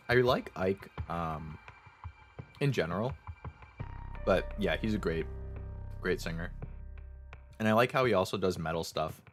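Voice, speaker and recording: A young man streaming with a sore throat, chatting with viewers in a relaxed, rambling way full of fillers. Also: sits low. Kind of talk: casual chat with viewers.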